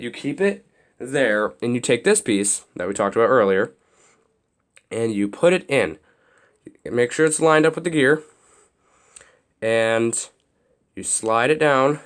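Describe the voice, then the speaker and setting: Young male hobby host, a bit raspy, rambling how-to walkthrough. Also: mid-range voice. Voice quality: slightly raspy. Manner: loose, rambling explanations with many restarts and fillers. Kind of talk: casual how-to demonstration.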